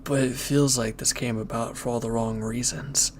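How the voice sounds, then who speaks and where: A man playing a former villain turned hesitant, conflicted lover, speaking earnestly and haltingly in an intimate audio roleplay. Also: medium pitch, steady tone, a little hiss or hum, lightly acted. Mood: regretful, earnest.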